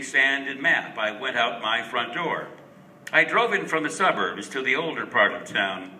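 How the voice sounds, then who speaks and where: A man giving an expressive, dramatic recitation of a rhyming story, with deliberate pauses. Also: mid-range voice. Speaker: reader of a Christmas verse story. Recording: roomy, distant mic with faint noise.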